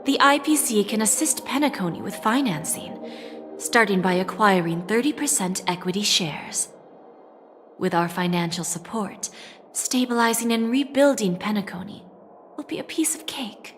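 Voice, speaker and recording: An actress playing a confident, shrewd female corporate negotiator in a video game, articulate, measured and pointed, with a coolly threatening edge. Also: medium pitch, soft background music, close to an everyday voice. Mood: persuasive, assured. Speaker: game voice actor. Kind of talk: dramatic video game dialogue.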